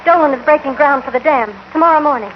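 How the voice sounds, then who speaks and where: A woman's voice: high voice, fast, lightly acted. A woman acting an earnest, anxious young American ranch woman in a 1950s radio western. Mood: worried, urgent. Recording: tinny, muffled, hissy old radio broadcast.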